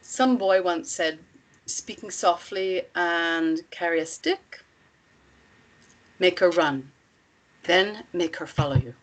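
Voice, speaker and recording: A woman playing a commanding, measured female cult leader in a screenplay reading, instructive and deliberate. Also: fairly low pitch, poor audio. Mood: encouraging.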